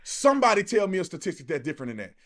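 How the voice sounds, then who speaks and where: An American man speaks in a high voice that swings widely in pitch. He delivers opinionated video commentary emphatically, in colloquial phrasing with rhetorical challenges. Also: sing-song, racing, fairly bright tone. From United States. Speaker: online political commentator. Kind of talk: impassioned opinionated video monologue.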